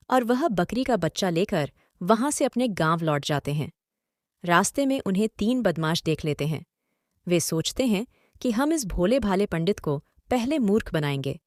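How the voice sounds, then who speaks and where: A woman's voice: medium pitch, a little mellow, dry recording, clean studio sound. A woman narrating a scripted story as host and storyteller.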